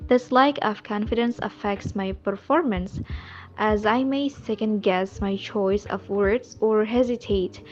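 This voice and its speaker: Slow, measured woman, muffled lo-fi audio. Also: medium pitch. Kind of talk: answering interview questions. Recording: dull, muffled audio with faint music.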